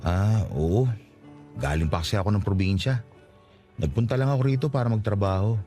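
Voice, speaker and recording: An actor playing a modest, polite newcomer from the provinces, a little hesitant, in a radio drama. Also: male voice, on the low side, normal speed, mellow, faint music behind, lightly acted. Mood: polite, unsure. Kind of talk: Tagalog radio drama acting.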